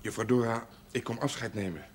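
An actor playing a polite, shy young farmhand turned soldier, speaking quietly and hesitantly in a television period drama. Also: male voice, mid-range voice, brisk, slightly rough, close to an everyday voice. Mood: subdued, polite.

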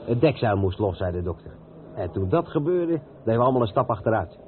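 A seasoned long-haul trucker in an old radio play. His voice sits fairly low and he explains patiently, step by step. The sound is thin and narrow, with some background noise. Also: sing-song, fast. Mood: explaining, chatty. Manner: didactic, explains things step by step. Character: experienced, patient long-haul truck driver showing the ropes. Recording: tinny, band-limited old radio recording with noise.

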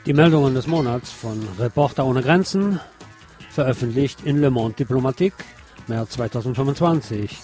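A man's voice: mid-range voice, normal speed, music in the background. A man presenting on radio, reading a written report aloud.

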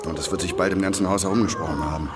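A man's voice: low voice, fairly even intonation, very fast, very noisy, close to an everyday voice. A man playing a determined, cautious police inspector in a German radio drama, purposeful and commanding. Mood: earnest.

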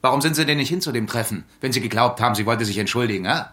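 A man's voice: medium pitch, racing, sharp tone, soft background music, natural voice. A man playing a sarcastic, pushy police detective in a radio drama interrogation. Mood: accusing. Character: needling, confrontational male police detective.

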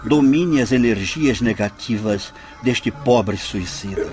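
An actor in a radio drama playing a solemn, commanding leader of a spiritist session, formal and invoking. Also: male voice, fairly low pitch, animated, slow, a little mellow. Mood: solemn, urgent. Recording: music under the voice.

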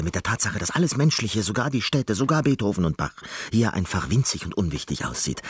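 A man's voice: sits low, a bit bright, slight background noise. An actor playing a reclusive, neurotic Canadian pianist in a radio drama, brooding and repeating his phrases compulsively.

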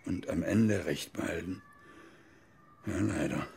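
A low, gravelly older man, a bitter former army officer and grieving father in a radio play. He broods and hesitates, then grows emphatic, his voice husky and worn. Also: takes it slowly, a little mellow, full character voice. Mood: bitter, subdued. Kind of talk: radio drama dialogue.

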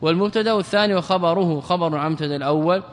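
A man teaching an Arabic grammar lesson as a religious scholar. He questions his students, answers himself, and chants verse lines slowly. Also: a little high, big-room sound.

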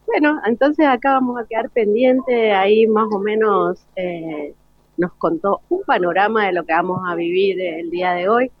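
An Argentine woman hosts a live radio interview segment at a mid-range pitch. The sound is dull and lo-fi, close and dry, like a broadcast link. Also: moderate pace, no echo. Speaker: radio host. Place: school event, remote broadcast.

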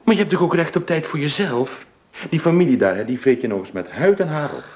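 A man's voice: a little high, lively intonation, quick pace, darkish tone, close to an everyday voice. An actor playing a sulky, possessive painter wooing a woman in a radio drama, insistent and teasing, repeating himself.

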